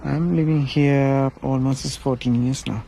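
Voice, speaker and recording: A man's voice: mid-range voice, fairly even intonation, measured pace, vocal fry, dark tone, a little hiss or hum, poor audio. A man from Sri Lanka with a Sri Lankan accent, a shop owner talking casually in a documentary interview.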